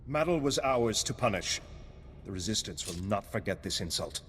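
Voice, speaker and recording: Angry vengeful rebel in a game, swinging pitch, menacing, big echo. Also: male voice, lively intonation, moderate pace, a bit bright, slight background noise. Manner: accusing, menacing. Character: bitter, vengeful male resistance fighter. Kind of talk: video game cutscene acting. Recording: long hall reverb, faint music.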